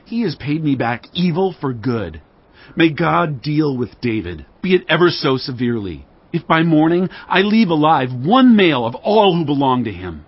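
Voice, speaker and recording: An actor playing a fierce, devout warrior leader in a dramatized scripture reading, speaking in a forceful, solemn, declamatory way. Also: male voice, fairly high pitch, big pitch swings, normal speed, breathy edge, no room sound, dull audio, exaggerated voice. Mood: bitter, vengeful.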